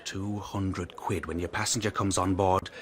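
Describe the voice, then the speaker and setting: An actor playing a commanding fixer for powerful men in a radio drama, blunt and coaxing by turns, with menace underneath. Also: male voice, sits low, steady tone, normal speed, natural voice. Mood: smoothly persuasive.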